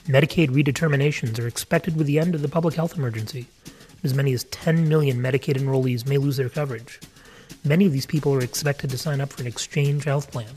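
A man hosting a podcast, reading a scripted sponsor message and introduction in a measured way. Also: mid-range voice, steady tone, a little mellow. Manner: measured, spells out web address. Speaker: podcast host.

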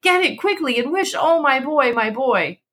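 A woman's voice: sits high, lively intonation, normal speed, fairly bright tone, pitched above the performer's own voice. A woman voicing a grief-maddened old wife in a dramatic story reading, urgent and hysterical. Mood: triumphant, urgent.

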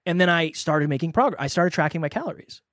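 A man's voice: a little high, animated, fast talker, fairly bright tone, dry recording, clean studio sound. A man in his thirties co-hosting a podcast, candid and self-deprecating in casual chat. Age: 30s. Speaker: podcast co-host.

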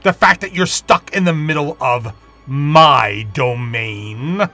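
A man voicing an amused, teasing spirit guarding a gate in an audio storybook, talking slowly and chuckling. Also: on the high side, animated, vocal fry, pushed and bright, soft background music, heavily acted.

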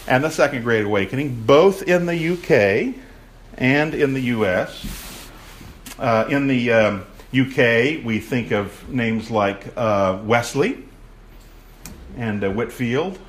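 A man's voice: mid-range voice, lively intonation, slow, slight background noise. Man lecturing a room on church history. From raised in a Baptist family. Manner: projecting, asks the audience questions. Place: room with an audience.

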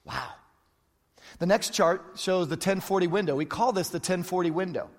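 Guy preaching a sermon, high voice, hammers phrases over and over, big echoey church sound. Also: moderate pace. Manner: emphatic, repeats phrases for effect. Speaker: pastor. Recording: long hall reverb.

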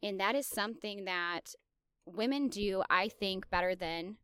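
A woman's voice: medium pitch, measured pace, a bit bright, clear, resonant tone, no room sound, studio quality. A woman in her thirties hosting a podcast, telling her own story in a candid, confessional, self-reflective way. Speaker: podcast host. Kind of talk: personal storytelling monologue.

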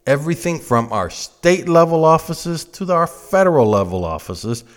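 A man's voice: on the high side, very animated, moderate pace. An American man in his fifties delivering an opinionated political monologue, blunt and emphatic, often repeating phrases to drive them home. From United States. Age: 50s. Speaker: political commentator and host.

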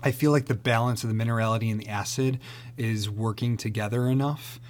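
A man's voice: mid-range voice, steady tone, fairly quick. A man co-hosting a podcast, chatting playfully while tasting wine and explaining things at length. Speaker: podcast co-host. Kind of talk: casual chat, wine tasting.

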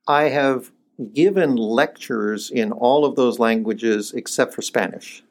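An older man, a cardiologist guest, talking through his experience in a thoughtful interview, with many restarts and pitch lifts when he stresses a point. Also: slow pace. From United States, born in Iceland. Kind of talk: conversational interview.

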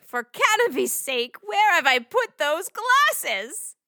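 An actress playing an absent-minded, eccentric old woman in a children's audio drama, muttering theatrically and haltingly. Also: extremely high pitch, sing-song, bright, forceful tone, no echo, clean studio sound, exaggerated voice. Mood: fretful, searching.

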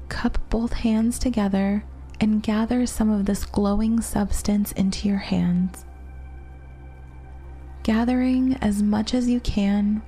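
A woman guides a relaxation meditation with a mellow, breathy voice. She speaks gently and soothingly, leaving long pauses between phrases. Music plays behind her. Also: mid-range voice. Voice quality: soft, breathy.

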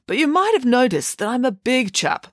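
Big good-natured posh schoolboy, played by a woman, slow-witted, earnest, very animated, for a children's audiobook. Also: on the high side, no echo, clean studio sound, pitched above the performer's own voice. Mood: earnest, hopeful. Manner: earnest, slow-witted, chummy upper-class phrasing.

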